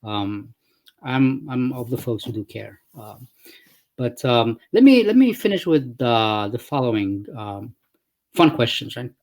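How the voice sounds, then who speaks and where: A man's voice: medium pitch, sing-song, a little slow, darkish tone. A man from Morocco hosting a casual video-call interview, musing aloud and often tagging his points with "right?". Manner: thoughtful, rambling, frequent "right?" tags. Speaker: interviewer, company founder.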